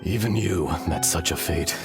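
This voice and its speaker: An actor playing a solemn, fatalistic ancient dragon in human form in a video game, speaking gravely in formal, archaic phrasing. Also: male voice, low-pitched, moderate pace. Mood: grave, challenging. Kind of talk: video game dialogue acting. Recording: music behind the voice.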